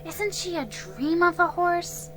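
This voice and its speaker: A woman's voice: very high-pitched, lively intonation, takes it slowly, fairly bright tone, soft background music, pitched above the performer's own voice, heavily acted, poor audio. A woman voicing a spirited, earnest young girl in a storybook reading. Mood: dreamy wonder. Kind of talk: storybook character voice.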